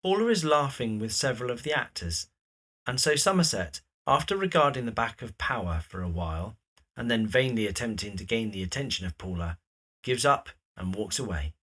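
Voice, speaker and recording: A man's voice: medium pitch, animated, brisk, a bit bright. A man reading scripted, present-tense scene narration for an audio drama.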